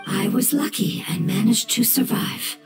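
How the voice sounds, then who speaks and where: A woman voicing a gentle, sorrowful ancient creature in a video game, breathy, solemn and formally spoken. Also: on the high side. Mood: solemn, regretful. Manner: slow, solemn, formal wording. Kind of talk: scripted game character dialogue. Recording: background music under the voice. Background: music.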